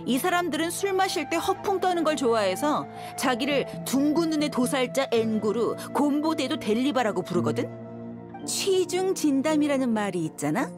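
A woman's voice: high voice, sing-song, moderate pace. An actress voicing a confident, shrewd young female desert mercenary in a video game, cool and teasing in manner. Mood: confident explaining. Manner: cool, teasing, self-assured. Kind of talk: video game voice acting, story dialogue. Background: background music.

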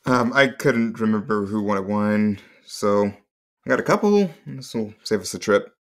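A mild male in-law in a radio comedy. His voice is on the low side. He comes across as helpful and a little oblivious, in a naturalistic delivery. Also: expressive, measured pace. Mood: mild, offhand. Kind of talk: naturalistic comedy audio drama acting.

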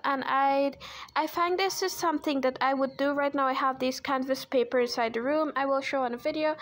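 A young woman from Guyana with a Guyanese accent, chatting casually and self-deprecatingly about art supplies while she draws at a desk. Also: high voice. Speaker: art channel host. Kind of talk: casual chat while drawing. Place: indoors at a desk. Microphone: overhead camera on hands.

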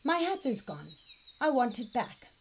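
Big, earnest storybook bear, played by a woman: polite, highish, faint nature ambience. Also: sing-song, a little slow, a little hiss or hum, heavily acted. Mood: forlorn. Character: big, polite, forlorn bear missing its hat. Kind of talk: children's storybook read-aloud.

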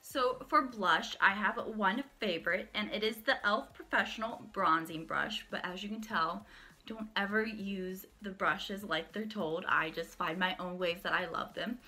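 A woman's voice: mid-range voice, unhurried, bright, forceful tone, faint music behind. A young woman in her twenties, a beauty vlogger chatting enthusiastically through her favorite makeup brushes while sick, her voice cracking at times. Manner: chatty, enthusiastic, shows each brush to camera. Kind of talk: casual product walkthrough. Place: small room at home, indoors.

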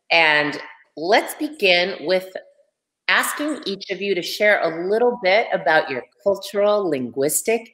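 A woman moderating a panel over a video call, measured and welcoming. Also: lowish voice, a bit bright. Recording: roomy echo on video call.

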